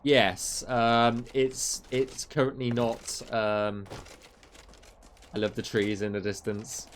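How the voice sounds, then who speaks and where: A man's voice: mid-range voice, flat delivery, slow, slight background noise. A man, a game mod developer chatting casually on a livestream, rambling and answering chat with many fillers, his voice getting tired. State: losing his voice.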